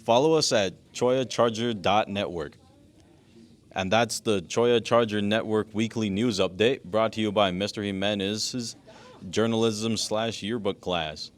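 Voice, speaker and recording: A male student news anchor with a fairly low voice. He delivers scripted news copy in a presenting style. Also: unhurried.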